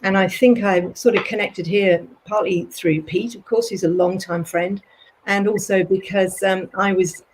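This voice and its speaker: A woman's voice: medium pitch, fairly even intonation, a little slow, darkish tone, slight background noise, poor audio. A woman academic talking conversationally as a panelist in an online discussion. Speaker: panelist, theology professor and college principal.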